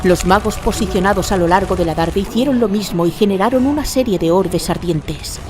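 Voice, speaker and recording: A woman from narrates a fantasy radio drama. Her mid-pitched delivery is scripted and dramatic, with music playing clearly underneath. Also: brisk.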